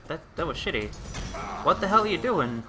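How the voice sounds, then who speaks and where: A man's voice: fairly high pitch, sing-song, a little fast, pushed and bright. A man playing through a game and commenting on it, exasperated and swearing at enemies, talking to them as he fights. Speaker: let's play commentator. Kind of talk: gameplay commentary. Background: game music and sound effects.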